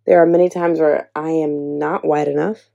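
Husky-edged warm woman talking earnestly. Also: deep voice, steady tone, normal speed, highs cut off. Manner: earnest, encouraging, addresses listener as sis. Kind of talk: heartfelt personal talk to camera.

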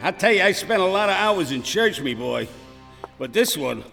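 A man's voice: high-pitched, sing-song, moderate pace, vocal fry, sharp tone, faint music behind, heavily acted. A man playing a superstitious, blustery police sergeant in a radio drama, grumbling and exclaiming in earthy slang. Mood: uneasy, grumbling.

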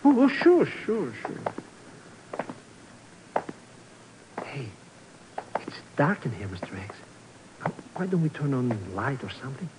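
An actor playing an excitable, bumbling male sidekick in a 1950s radio drama, nervous, repetitive and given to stammering. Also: medium pitch, normal speed. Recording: noisy, crackly 1950s radio recording.

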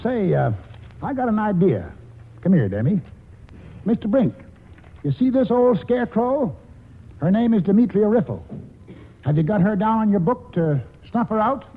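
A man's voice: high voice, very animated, normal speed, pauses often, husky, full character voice. An actor playing a stubborn, crafty old grandfather with folksy speech in a 1940s radio comedy. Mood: stubborn, feisty. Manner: folksy, colloquial. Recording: hissy, muffled old radio broadcast in a hall.

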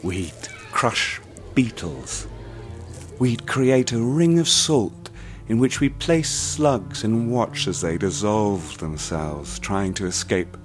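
An actor playing a cold, sadistic man who speaks to a bound captive in a soft, measured, menacing way in a radio play. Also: on the low side, animated, faint music behind. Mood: gloating recollection.

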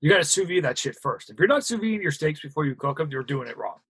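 A man riffs on a casual podcast, crude and joking. His voice is breathy and husky. His pitch moves around a lot as he rambles through the facts. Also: sing-song, quick pace, fairly bright tone. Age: 30s. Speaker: podcast co-host.

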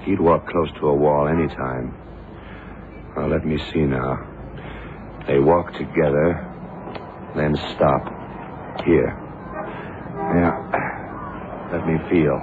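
Very deep-voiced, terse radio detective. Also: male voice, fairly even intonation, exaggerated voice. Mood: focused. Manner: terse, deliberate, authoritative. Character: shrewd, commanding male detective Lee, calm and terse. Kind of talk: 1970s radio crime drama acting. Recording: noisy, tinny old radio broadcast.

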